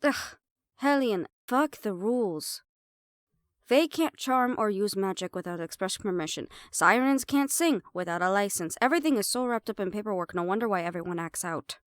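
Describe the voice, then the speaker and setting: A woman's voice: medium pitch, expressive, moderate pace, dry recording, clean studio sound, natural voice. A woman playing a sly, defiant half-banshee siren in an audio roleplay, sarcastic and playful as she mocks the listener.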